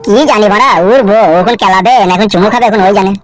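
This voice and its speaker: A man acts out an obliging cartoon henchman. He speaks quickly in a fairly high male voice, crude and casual. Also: steady tone, music in the background, lightly acted. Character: deferential, crude young village henchman. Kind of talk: amateur comedy cartoon dialogue.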